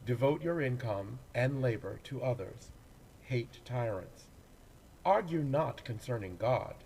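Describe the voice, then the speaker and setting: Slow, measured man reciting poetry. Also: medium pitch, darkish tone, a little hiss or hum, rough recording. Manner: measured, deliberate phrasing with pauses. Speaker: actor reading poems.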